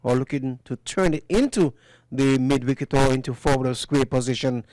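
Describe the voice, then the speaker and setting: A man's voice: medium pitch, moderate pace, darkish tone, no echo. A man commentating on a live cricket match from a commentary booth, chatty and teasing as he interviews a guest. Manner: chatty, teasing, fond of asking follow-up questions. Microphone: commentary booth microphone.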